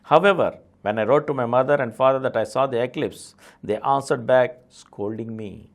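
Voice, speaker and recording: A man's voice: medium pitch, normal speed, creaky. A man with an Indian accent reading a memoir aloud as a presenter of an audio series. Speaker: audiobook reader, channel presenter.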